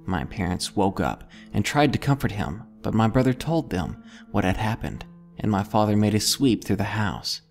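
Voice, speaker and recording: A man's voice: fairly low pitch, animated, normal speed, creaky, darkish tone, soft background music. A man narrating a scripted first-person ghost story as a horror storyteller.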